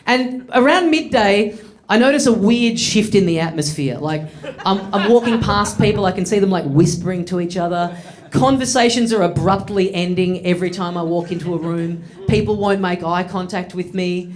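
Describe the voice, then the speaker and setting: A man's voice: sits high, moderate pace. A man in his thirties with an Australian accent, telling a self-mocking stand-up story to a laughing live audience. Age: 30s. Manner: wry, self-mocking, acts out voices. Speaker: stand-up comedian. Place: comedy studio with live audience.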